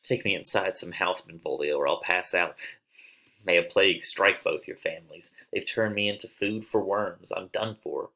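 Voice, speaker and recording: A man acts out a dying swordsman in a play reading. His voice is low and sharp-edged. He is wry and bitter, declaiming his curses. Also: normal speed, exaggerated voice, poor audio. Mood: bitter cursing.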